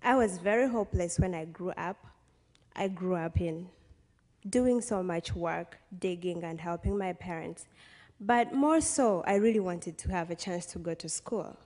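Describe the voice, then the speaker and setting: Young ugandan lady giving a heartfelt speech at a podium, slightly low voice, lots of hall reverb. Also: measured pace, darkish tone. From western Uganda. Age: young adult. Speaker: guest speaker, college student. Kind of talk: personal testimony speech to an audience. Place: stage in a large hall. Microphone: podium microphone.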